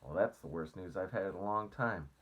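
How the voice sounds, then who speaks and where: A man's voice: low voice, brisk. A man voicing a gloomy earthworm from a storybook, complaining with groans and grumbles. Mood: irritable.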